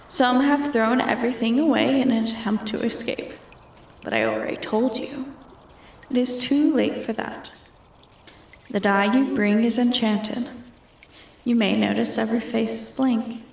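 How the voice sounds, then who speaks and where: Slow, echoing, menacing dragoness, acted by a woman. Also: fairly high pitch, creaky, darkish tone, recorded from a distance, slight background noise. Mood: toying, ominous. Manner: taunting, imperious, slow and deliberate. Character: bored, menacing female dragon toying with a human thief. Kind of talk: roleplay ASMR, scripted character acting.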